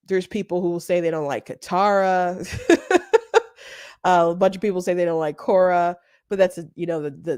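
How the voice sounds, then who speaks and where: A woman hosting a commentary show, talking off the cuff in a casual, halting, rambling way. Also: lowish voice, dry recording, studio quality. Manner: halting, rambling, often breaks into laughter.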